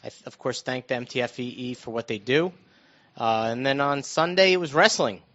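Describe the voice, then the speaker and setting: A middle-aged American man telling stories casually on a talk show, halting and restarting his phrases as he goes. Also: medium pitch, fairly bright tone. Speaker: radio show panelist recounting his own news. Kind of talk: casual conversational storytelling. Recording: dull, lo-fi muffled sound.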